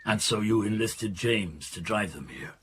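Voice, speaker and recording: An actor playing an authoritative police chief inspector in a radio drama, asking terse, probing questions. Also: male voice, lowish voice, expressive, a little slow, fairly bright tone, slight background noise.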